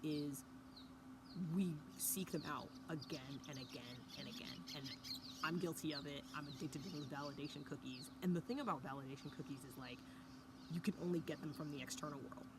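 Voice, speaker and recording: A woman talking casually to camera in a hushed early-morning voice, recording outdoors by a fire pit with a mountain view. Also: low-pitched, brisk. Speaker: vlogger. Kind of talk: casual reflective talk to camera. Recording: outdoor ambience with background noise.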